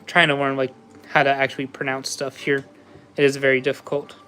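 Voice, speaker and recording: A man's voice: mid-range voice, completely flat delivery, a little fast, bright, forceful tone, faint music behind. A man chatting casually with viewers while building a Lego set on a livestream, easygoing and laughing often. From USA. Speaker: livestream host.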